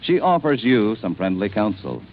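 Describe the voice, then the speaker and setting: Animated male announcer on old radio. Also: on the low side, normal speed. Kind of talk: 1940s radio advertising delivery. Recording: vintage 1940s radio, phone-like, noisy.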